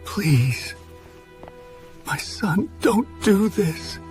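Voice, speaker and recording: A man playing a grieving old king and tender father, sorrowful and pleading, with a creaky voice. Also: high voice, sing-song, very slow, darkish tone, soft background music, full character voice.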